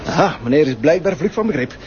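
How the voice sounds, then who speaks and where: Muffled, noisy TV drama: male actor as an impatient, threatening Flemish traveller, high-pitched and rude. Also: lively intonation, fast talker, a little mellow, natural voice. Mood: impatient, aggressive.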